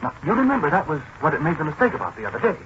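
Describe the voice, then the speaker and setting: An actor playing a flustered, earnest, halting man in a 1940s radio drama. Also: a little high, sing-song, fairly bright tone, muffled recording. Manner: hesitant, halting, stammering. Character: flustered, earnest man convinced his machine talks. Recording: noisy, band-limited 1940s radio recording.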